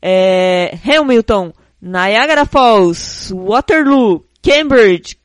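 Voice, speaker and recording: A man co-hosting a casual podcast chat with a notably high voice for a man. He rambles, restarts his sentences and laughs often, recorded close and dry with no room sound. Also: expressive, drawn-out words, no room sound. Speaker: podcast co-host.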